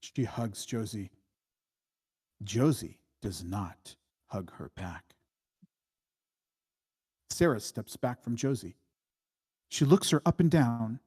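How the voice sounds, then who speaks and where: A man narrates screenplay stage directions at a slow pace. His voice is mid-pitched, with a measured, matter-of-fact delivery. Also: darkish tone, slightly rough.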